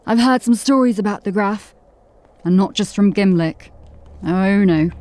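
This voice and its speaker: Casting: woman playing sardonic female watch officer, medium pitch, dry and knowing, fantasy audio drama. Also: unhurried, dark tone, lightly acted. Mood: wary. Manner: wry, knowing.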